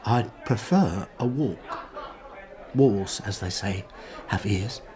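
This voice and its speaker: A man's voice: lowish voice, slow pace, a crowd in the background. An actor playing an anxious, scheming bank clerk and family man in a period audio drama, speaking formally and self-importantly. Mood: furtive, persuasive. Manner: formal, old-fashioned, self-important phrasing.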